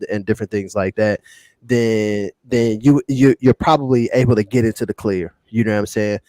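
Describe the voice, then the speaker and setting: A man's voice: mid-range voice, a little mellow, no echo. A man with an American accent in a casual panel discussion, speaking emphatically and repeating words and phrases to drive points home. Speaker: podcast panelist.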